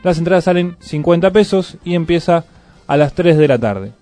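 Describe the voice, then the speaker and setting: An Argentine man hosts a small community radio program, his voice sitting a bit high for a man. He reads gig listings and chats with his co-hosts in a loose, relaxed way. Faint music plays underneath. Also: moderate pace. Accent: Argentine Spanish. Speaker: radio host. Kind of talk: casual community radio chat.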